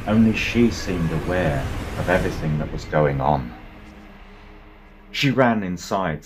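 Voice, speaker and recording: Deep-voiced man narrating slow, suspenseful drama. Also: noisy background. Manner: theatrical, suspenseful delivery with swelling intensity. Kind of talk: dramatic scripted horror storytelling for an audio comic. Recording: music behind the voice. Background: music.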